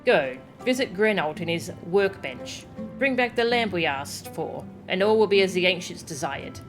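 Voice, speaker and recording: A woman voicing a solemn, formal fish-folk sea dweller from a video game, speaking in measured archaic phrases. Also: on the low side, expressive, close to an everyday voice. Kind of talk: reading video game character dialogue. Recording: game music behind the voice.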